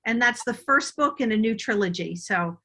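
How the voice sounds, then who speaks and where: A woman's voice: fairly high pitch, animated, moderate pace, fairly bright tone, slightly rough. A middle-aged woman talking conversationally as a guest in an interview. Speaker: podcast guest, novelist.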